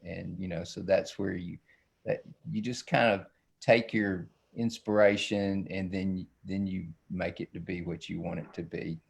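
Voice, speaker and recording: A man's voice: sits low, fairly even intonation, measured pace, creaky. A man walks through a drawing demonstration. He talks in a folksy, playful, casual way, like an illustrator chatting while he works. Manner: folksy, playful, self-described silly. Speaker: children's book illustrator.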